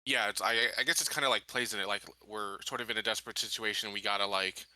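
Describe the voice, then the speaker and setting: A man's voice: on the low side, steady tone, quick pace, sharp tone, no echo, rough recording. A man playing a tabletop game, thinking choices through aloud in a tentative, questioning way. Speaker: tabletop roleplaying player.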